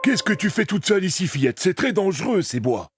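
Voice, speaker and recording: Higher-voiced, protective storybook hunter. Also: male voice, very animated, a little fast, breathy edge, soft background music, heavily acted. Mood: stern, concerned. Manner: firm, concerned. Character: protective male hunter, stern but kindly. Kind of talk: children's cartoon fairy-tale acting.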